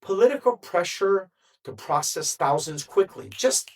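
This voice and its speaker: Slow, deliberate man commenting. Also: high voice, animated. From United States. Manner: measured, deliberate, with emphatic pauses. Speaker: commentary vlogger. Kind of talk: solo opinion commentary.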